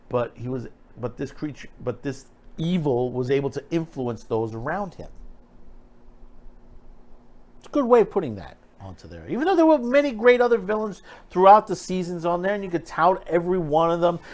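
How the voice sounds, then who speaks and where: A man giving opinionated, off-the-cuff pop culture commentary as a video host, emphatic and critical, often repeating himself and restarting phrases. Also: a little high. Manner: halting, repetitive, restarting phrases mid-thought.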